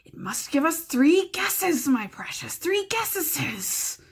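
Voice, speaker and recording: A woman's voice: very animated, takes it slowly, creaky, exaggerated voice. A woman voicing a greedy, wretched male cave creature who whispers, hisses and shrieks. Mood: hissing. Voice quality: whispery hissing voice, shrill cries.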